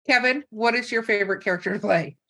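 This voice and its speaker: A woman's voice: mid-range voice, sharp tone. A woman hosting a podcast in casual chat, teasing her guests bossily and swearing freely. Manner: bossy teasing, salty swearing. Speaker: podcast host. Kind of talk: casual chat, rapid-fire questions to guests.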